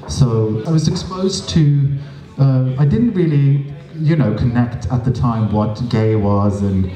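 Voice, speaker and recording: A man's voice: medium pitch, warm tone. Norwegian guy telling stories onstage, dry jokes, lots of ums, echoey room. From Norway. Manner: chatty, dry humor, many fillers. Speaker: interview guest, drag performer. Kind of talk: casual storytelling interview. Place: small stage with audience, indoors. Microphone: handheld mic. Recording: roomy, from a distance.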